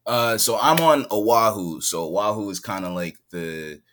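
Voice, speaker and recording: Deep-voiced laid-back rapper guest. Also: male voice, unhurried, fairly bright tone, slight background noise. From San Diego, California. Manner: laid-back, chatty, laughs often. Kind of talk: casual podcast interview. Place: home in Hawaii, remote call.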